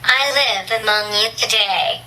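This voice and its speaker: A synthetic female voice playing a small, proud humanoid robot that speaks in an even, scripted way. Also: mid-range voice, measured pace. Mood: boastful. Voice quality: synthetic, evenly paced. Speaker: robot giving a presentation. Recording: noisy live room.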